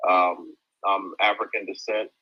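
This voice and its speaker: A man's voice: deep voice, fairly even intonation, unhurried, breathy edge. A man from Ghana speaking casually as a caller in an open discussion, over a dull lo-fi voice-chat connection. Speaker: audience speaker in an open forum.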